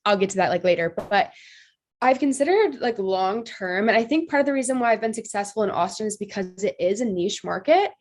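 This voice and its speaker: A woman in her twenties chats as a podcast guest in a mid-pitched voice, slightly nasal from allergies. She sounds upbeat and bubbly, with loose, informal phrasing. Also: animated, a little fast, darkish tone. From Ohio. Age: 20s. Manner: upbeat, bubbly, frequent "like" fillers, laughs easily. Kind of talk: casual interview chat.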